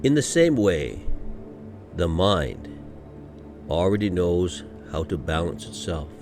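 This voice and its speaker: A man guiding a meditation from a script in a gentle, soothing, unhurried manner, pausing often between short phrases. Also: low voice, big pitch swings, music in the background. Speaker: meditation guide. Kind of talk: guided meditation, scripted narration.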